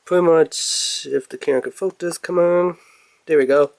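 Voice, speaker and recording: A man's voice: on the high side, moderate pace, vocal fry, darkish tone, no room sound. A man giving casual, off-the-cuff commentary as he reviews a toy in an unboxing video. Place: indoors at a table.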